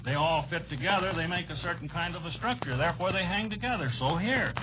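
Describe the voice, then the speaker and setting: An American man with a fairly high voice argues earnestly in a 1940s radio debate. The vintage recording is dull, with clear background noise. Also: quick pace. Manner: earnest, argumentative. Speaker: debate panelist.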